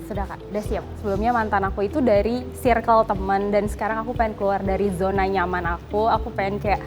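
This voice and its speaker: A woman's voice: medium pitch, steady tone, quick pace, over music. A woman in her twenties, a dating show contestant, introducing herself in a polite, casual way. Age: 20s.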